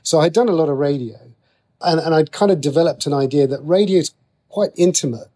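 A man's voice: on the high side, fairly quick, mellow. Chatty British man being interviewed. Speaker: writer interviewed as guest. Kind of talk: conversational interview.

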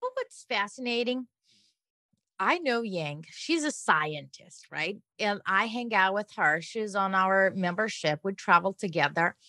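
Woman panelist: casual chat, bright forceful tone, slow pace, very dry close sound. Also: mid-range voice, expressive, no echo.

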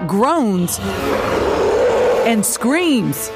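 A woman reporter narrating a scripted news feature in a measured broadcast style. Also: on the high side, very animated, loud music behind the voice.

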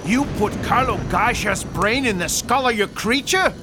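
A gravelly boss. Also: male voice, very high-pitched, expressive, moderate pace, noisy background. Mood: shocked. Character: man, a tough 1930s Chicago mob boss. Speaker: Louie Carboni.

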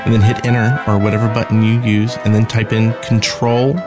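A man's voice: on the low side, fairly quick, over music. A man co-hosting a podcast with playful, casual banter. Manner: animated, playful. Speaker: podcast co-host.

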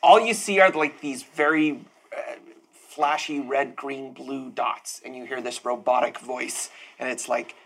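A woman's voice: a bass voice, steady tone, measured pace, a little hiss or hum. Hesitant woman setting a scene. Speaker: player. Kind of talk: describing an opening scene.

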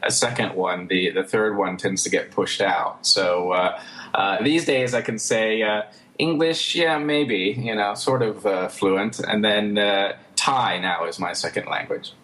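A man from the United States talking as an interview guest, relaxed and hesitant, with easy laughs. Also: lowish voice, unhurried. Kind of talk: casual interview talk.

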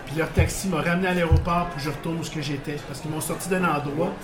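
A man's voice: on the high side, fairly even intonation, fast talker. Quebec French man, casual guest storyteller, rambling and chuckling, roomy noisy bar recording. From Portuguese background, living in Quebec. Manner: rambling, many restarts, chuckling. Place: bar with live audience. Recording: echoey bar with background noise.

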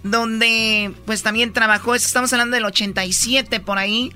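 A man's voice: high voice, level tone, normal speed, bright, forceful tone, faint music behind. A man hosting a radio interview, guiding the guest by recapping his story and asking questions. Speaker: radio host.

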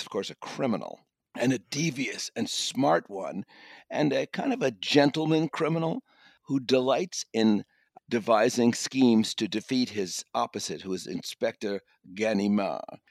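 A man's voice: medium pitch, no echo, studio quality. A man giving a conversational review as a guest critic on a podcast.

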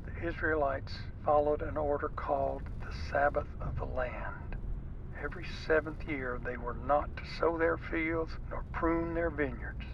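An actor playing an ailing, guilt-ridden male scientist who talks in a measured, articulate, confessional way in an audio drama. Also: fairly high pitch, steady tone, creaky, highs cut off, noisy background. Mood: solemn, resigned. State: sick, coughing. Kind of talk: naturalistic audio drama monologue.

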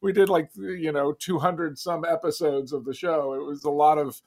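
A relaxed man answers interview questions with self-deprecating humor. His voice is a little high for a man. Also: normal speed. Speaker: guest, animation producer.

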